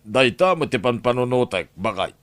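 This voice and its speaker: A man's voice: medium pitch, sing-song, normal speed, fairly bright tone, no echo. An actor playing a greedy, scheming middle-aged man in an audio drama, talking in a sly, scoffing way. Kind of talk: radio drama acting.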